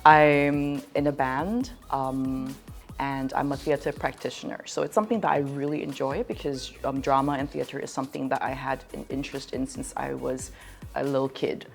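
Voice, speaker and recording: A woman's voice: a bass voice, moderate pace, vocal fry, faint music behind. A young woman from Thailand talking casually and expressively as a guest on a discussion show. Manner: expressive, animated, laughs often. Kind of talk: casual conversation.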